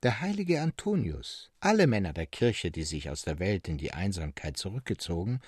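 A man voicing a calm, self-assured mad hermit who speaks slowly and solemnly in a literary story reading. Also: low-pitched, breathy edge, no room sound, studio quality, pitched below the performer's own voice, full character voice. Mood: grave, sardonic.

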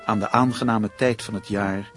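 A man's voice: on the low side, steady tone, normal speed, close to an everyday voice. Man acting male thriller writer, brooding, put-upon, radio play style, music behind. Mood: grave, ominous. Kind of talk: Dutch radio play acting, dramatic narration and dialogue.

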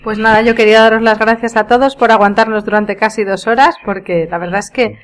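A woman's voice: fairly high pitch, fairly even intonation, quick pace, slight background noise. A woman chatting casually as a podcast panelist. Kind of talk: casual chat.

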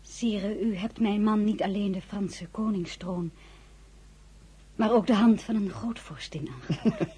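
An actress playing a weary but composed crown princess in a radio drama, courteous and sometimes hesitant. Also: female voice, mid-range voice, steady tone, a little slow, pauses often, dark tone, breathy edge. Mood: formal, guarded.

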